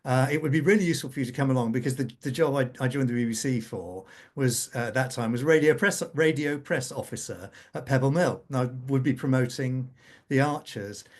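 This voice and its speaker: A man's voice: medium pitch, a little fast. A middle-aged or older man, a scriptwriter, telling anecdotes informally on a panel, repeating and restarting his phrases. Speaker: radio drama scriptwriter on a panel. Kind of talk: informal anecdotal conversation at a conference.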